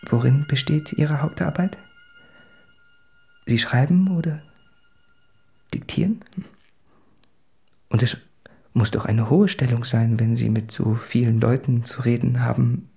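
A man's voice: medium pitch, unhurried, gravelly, pauses often, warm tone, slightly rough, soft background music. An actor playing an anguished, introspective man of about thirty reading out his confessional letters in a literary radio drama. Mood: tender, earnest.

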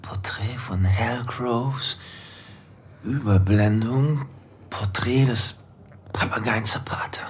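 An actor playing a playful, easily distracted filmmaker sidekick in a German radio drama. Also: male voice, medium pitch, takes it slowly, long pauses, slight background noise, lightly acted. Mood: dreamy, distracted. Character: flippant, dreamy filmmaker sidekick named Lester.

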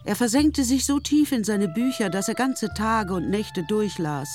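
An actress as the composed, wry narrator of a literary radio drama. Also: female voice, medium pitch, unhurried, a little mellow, soft background music, lightly acted.

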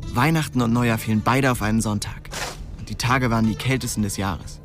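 A man's voice: medium pitch, fairly even intonation, fairly quick, close to an everyday voice. An actor playing a cocky, restless young man who narrates his story in a slangy, streetwise radio drama style. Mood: wry, philosophical. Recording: music behind the voice.

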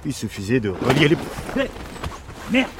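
An actor playing a playful, jittery police sidekick in a French audio drama, chatty and mock-theatrical, with a French accent. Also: male voice, medium pitch, wildly swooping pitch, normal speed, background noise. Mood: pompous, playful. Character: jokey, excitable, jittery male police sidekick. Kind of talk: naturalistic audio drama acting.

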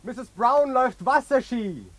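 A man's voice: lively intonation, no echo, poor audio. An actor playing a male newspaper crier in a radio play, calling out like an old hunting cry. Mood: proclaiming. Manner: drawn-out high calling. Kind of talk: German radio play acting.

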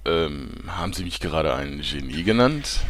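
A man's voice: very deep voice, animated, normal speed, a bit bright. A man playing a vain, defensive middle-aged tabloid reporter and conspiracy buff in a parody radio play. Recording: noisy press room with clicking cameras.

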